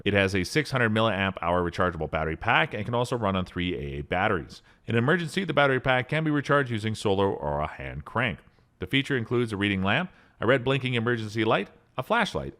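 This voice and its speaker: A man narrating a scripted voice-over rundown of emergency radios, reading spec lists at a steady pace and lifting his voice on each product name. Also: lowish voice.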